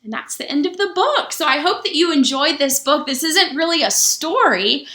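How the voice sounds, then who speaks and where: A woman pastor reading a children's book aloud for story time, pausing to explain things simply to young listeners. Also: high-pitched, animated, sharp tone. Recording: roomy, echoing sound.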